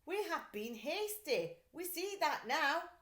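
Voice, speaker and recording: A woman's voice: high-pitched, sing-song, takes it slowly, a bit bright, echoey room, voice pushed up, exaggerated voice. A woman voicing bumbling, emphatic policemen in a children's storybook. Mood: apologetic.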